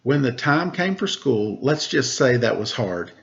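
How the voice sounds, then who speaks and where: A man reading a rhyming picture book aloud, lilting and expressive in the verses, relaxed and chatty in the asides. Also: medium pitch, measured pace, gravelly, lo-fi sound, slight background noise.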